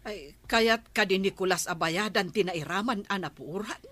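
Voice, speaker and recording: A woman's voice: medium pitch, moderate pace, fairly bright tone, no echo, close to an everyday voice. A woman playing a practical, scolding wife in an Ilocano radio comedy drama. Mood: questioning.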